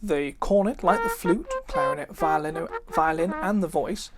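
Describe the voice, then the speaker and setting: Low female voice, formal read-aloud, occasional stumbles, wildly swinging pitch, loud cornet playing throughout. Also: wildly swooping pitch, moderate pace, over loud music. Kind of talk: reading an old instrumental method book introduction aloud. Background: live brass cornet playing.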